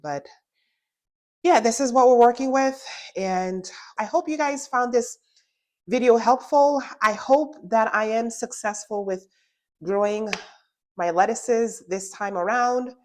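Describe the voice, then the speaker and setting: A woman's voice: fairly high pitch, slow, a little mellow. A woman with a stuffy nose from a cold, chatting casually through a gardening how-to vlog and saying "y'all" often. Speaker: gardening vlogger.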